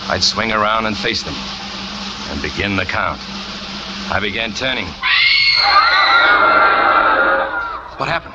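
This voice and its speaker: An actor playing a brooding trapeze artist who confesses in an intense, dramatic first-person radio thriller narration. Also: male voice, medium pitch, natural voice, poor audio. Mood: grim, tense, then shocked, urgent. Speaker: narrating lead character.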